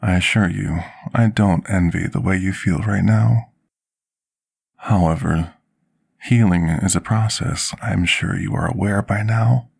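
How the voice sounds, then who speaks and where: Man playing a deep, gravelly, devoted potion master. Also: exaggerated voice. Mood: patient, instructive. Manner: formal, measured, deferential. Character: devoted, apologetic male potion master tending a dragon patient. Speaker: ASMR roleplay performer. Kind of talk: ASMR fantasy roleplay.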